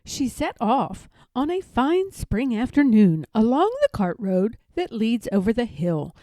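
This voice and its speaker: Animated woman reading a storybook. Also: a little high, unhurried, no room sound. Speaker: storybook reader. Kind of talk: expressive scripted children's story narration.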